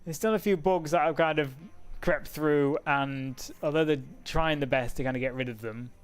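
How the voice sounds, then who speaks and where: A man's voice: on the high side, normal speed, clear, resonant tone. A man live-streaming a racing sim, chatting casually and talking to himself as he clicks through menus, with frequent laughs.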